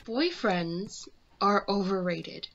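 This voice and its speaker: A woman's voice: mid-range voice, expressive, slow pace, highs cut off, a little hiss or hum. A woman playing an aloof, dismissive teenage villain girl in a fan dub, cool and sardonic. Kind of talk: amateur fandub acting.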